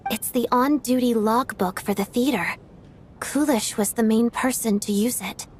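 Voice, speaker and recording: A woman's voice: on the high side, normal speed, a little hiss or hum, natural voice. An actress playing a methodical, observant young woman investigator in a video game, speaking in a precise, matter-of-fact way. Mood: focused. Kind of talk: anime-style video game cutscene acting.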